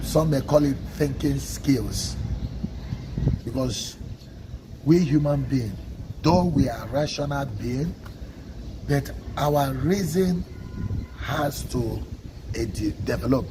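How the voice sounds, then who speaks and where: A man from Nigeria with a Nigerian accent, an Igbo speaker, giving a measured teaching talk on a livestream and often checking in with "okay?". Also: medium pitch, noisy background. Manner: measured, stresses single words, asks listeners "okay?". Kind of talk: slow, deliberate teaching talk.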